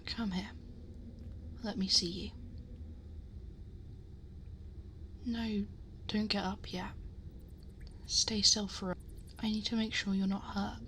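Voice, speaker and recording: Woman voicing a possessive dragon girl, ASMR roleplay, medium pitch, breathy near-whisper, gently scolding, protective, close binaural mic, faint background noise. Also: fairly even intonation, natural voice. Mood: worried, tender. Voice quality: soft, breathy, often whispered. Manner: intimate, gently scolding, fussing, deliberate. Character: possessive, worried, protective female dragon girl guarding her hoard. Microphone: close binaural microphone.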